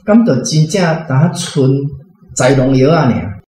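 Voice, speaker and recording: A man's voice: mid-range voice, sing-song, a little slow, warm tone. A man with a Taiwanese accent gives reflective comments in a discussion. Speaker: reading club member commenting on a story. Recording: very echoey room with guitar music.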